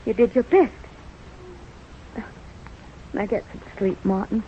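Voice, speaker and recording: An actress as a firm, insistent devoted wife. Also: female voice, medium pitch, slow, natural voice. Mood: firm, consoling. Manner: urgent, insistent. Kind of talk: 1930s-40s radio drama acting. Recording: muffled old radio recording, noisy.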